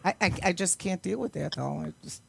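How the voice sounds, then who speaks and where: A woman's voice: lowish voice, brisk, gravelly, slight background noise. A middle-aged woman chatting casually as an interview guest, rambling along in an enthusiastic way. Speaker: guest, amateur actress and singer.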